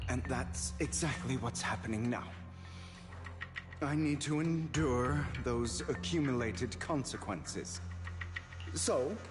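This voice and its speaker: A proud, calculating man from a video game, composed and defiant. His delivery is very slow and measured, with theatrical weight on his words. Music plays clearly behind him. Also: mid-range voice.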